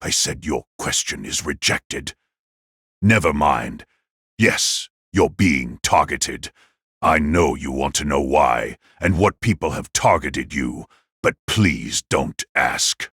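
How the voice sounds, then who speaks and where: A man playing a stern, commanding elite warrior in an audio drama, curt and husky. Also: very deep voice, animated, slow pace, fairly bright tone, dry recording, clean studio sound, heavily acted. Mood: curt, insistent. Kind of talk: audio drama character acting.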